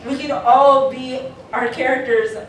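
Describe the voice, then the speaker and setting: A woman's voice: sits high, fairly even intonation, unhurried, recorded from a distance. Young woman hosting in an echoey, noisy hall. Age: young adult. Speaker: podcast creator hosting a panel. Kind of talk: casual panel chat. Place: convention panel room.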